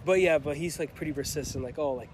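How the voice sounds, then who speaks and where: Fast-talking young man interviewing outdoors. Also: on the high side, a crowd in the background. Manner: chatty, laughing, filler-heavy questions. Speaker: street interviewer. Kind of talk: casual street interview. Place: college campus lawn outdoors. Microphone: handheld phone.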